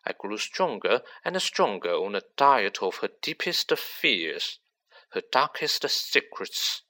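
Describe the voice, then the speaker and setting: A man voicing a cold, manipulative teenage boy sorcerer who talks softly and gloats. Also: lowish voice, sing-song, takes it slowly, heavily acted. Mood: gloating, silky. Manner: silky, soft and gloating.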